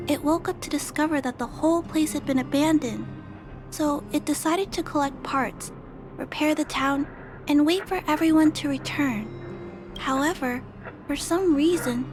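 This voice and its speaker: A woman voicing a gentle, curious young girl in a video game, polite and musing thoughtfully aloud. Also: sits high, lightly acted. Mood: thoughtful, explaining. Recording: game music behind the voice.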